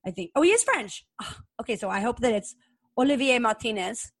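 An American woman from New Jersey chatting casually and explicitly as a podcast co-host, gushing, excitable and prone to rambling. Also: fairly high pitch, a little fast, slightly rough, no room sound, clean studio sound. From New Jersey, United States. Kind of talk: casual, explicit pop-culture chat.

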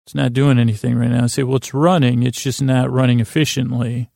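Guy rambling on a sleep podcast, flat delivery, really dry close sound, keeps restarting sentences. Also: medium pitch, vocal fry, dry recording. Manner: meandering, halting, repeats words and restarts sentences often; self-deprecating. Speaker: podcast host.

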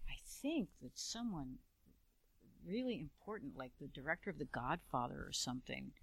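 A woman interview guest talking casually about her film work, relaxed and wry, her phrases trailing off. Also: low-pitched, moderate pace, vocal fry, slightly rough, no room sound.

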